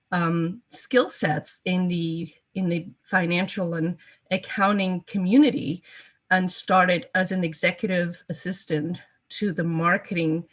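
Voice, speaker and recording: Low-voiced woman from Portugal, hesitant. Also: fairly bright tone. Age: 50s. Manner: hesitant, frequent ums and repeated words. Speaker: interview guest. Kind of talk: conversational life story interview.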